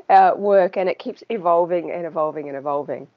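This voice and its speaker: A woman with a New Zealand accent, a podcast guest who talks in a relaxed, chatty way with small laughs and filler words. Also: fairly low pitch, normal speed. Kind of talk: casual conversational interview. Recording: dull lo-fi sound.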